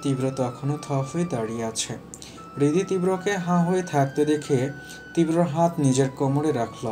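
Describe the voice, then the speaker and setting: A man's voice: fairly high pitch, normal speed, dark tone. Male narrator of romantic stories over music. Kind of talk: scripted romantic story narration.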